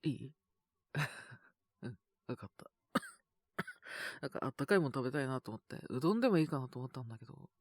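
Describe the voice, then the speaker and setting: A man with a sore, scratchy throat and a cough, chatting casually and intimately in soft, hesitant murmurs as if to someone beside him. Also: medium pitch, lively intonation, brisk, long pauses, dry recording, clean studio sound. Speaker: voice actor making situation-voice videos. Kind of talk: intimate casual chat to an unheard partner.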